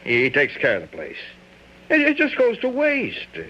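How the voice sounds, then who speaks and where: A man's voice: very high voice, wildly swooping pitch, unhurried, bright, forceful tone, exaggerated voice. An actor playing a resourceful, persuasive schemer in a radio drama, insinuating his way along. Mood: bashful, ingratiating. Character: resourceful, smooth-scheming man feigning bashful helpfulness. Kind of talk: old-time radio drama acting. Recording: muffled radio recording.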